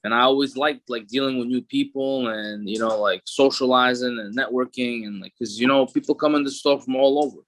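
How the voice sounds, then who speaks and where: A man's voice: mid-range voice, a little fast, hardly any pauses, no echo. A man in his thirties with a New York accent, talking casually as an interview guest with lots of fillers and restarts. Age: 30s. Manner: relaxed street talk with many fillers and restarts.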